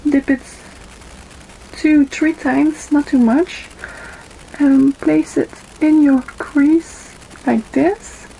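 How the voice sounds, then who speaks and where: A young woman with a Dutch accent walks through a makeup tutorial at a slow, careful pace, her voice mellow and a little high. She fills her pauses with ums as she works. It sounds like a webcam in a small bedroom, with faint room hiss behind her. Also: fairly even intonation, creaky, low-quality recording. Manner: unhurried, with frequent ums and pauses while applying makeup. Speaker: beauty vlogger. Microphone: webcam in front of her.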